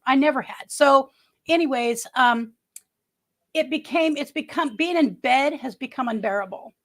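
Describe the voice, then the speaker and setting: A woman's voice: high voice, fairly bright tone. A woman in her early sixties hosting a casual livestream from home, chatting and reading viewer comments in a rambling way with many restarts. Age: 60s. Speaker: livestream host.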